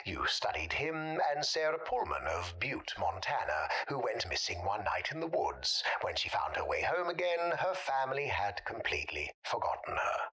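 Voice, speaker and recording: A male actor plays an eerie, forgotten gentleman in an audio drama. His low voice is husky yet has a bright, pointed edge. He chooses his words formally and deliberately, polite on the surface and menacing underneath. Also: very animated, exaggerated voice. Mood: courteous, weary. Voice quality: husky, breathy. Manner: courteous, deliberate, formally worded. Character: polite, sinister man nobody can remember.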